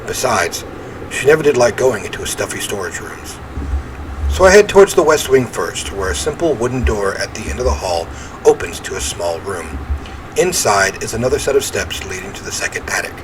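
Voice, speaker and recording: A man reading a first-person horror game story aloud in an expressive, theatrical way. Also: on the low side, normal speed. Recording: clear ambient noise behind voice.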